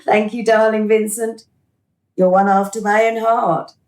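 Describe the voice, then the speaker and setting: An actress playing a cool, smug administrator of a dystopian afterlife in a radio drama, officious and mocking. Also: female voice, medium pitch, flat delivery, unhurried, darkish tone, echoey room, close to an everyday voice. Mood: sly, intimate.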